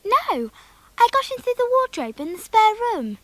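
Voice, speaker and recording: A woman's voice: extremely high pitch, big pitch swings, normal speed, no echo, poor audio. A female performer playing a curious, frank young English girl in a radio drama, eager and polite with a British accent. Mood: puzzled. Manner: eager, polite, plain-spoken.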